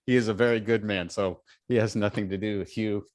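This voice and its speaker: A man's voice: lowish voice, fairly even intonation, a little fast, darkish tone. A man from Indiana with an American accent, co-hosting a casual chat show in a jokey, rambling way. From Indiana, United States. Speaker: show co-host. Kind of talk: casual chat about favorite books.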